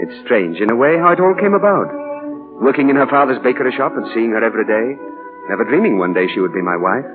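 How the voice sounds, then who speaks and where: An actor playing an earnest, ambitious young English writer from the slums, with theatrical, emotional 1940s radio delivery. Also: male voice, medium pitch, expressive, brisk, phone-quality sound, close to an everyday voice. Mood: reflective. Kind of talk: 1940s radio drama acting. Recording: band-limited old 1940 radio broadcast with music.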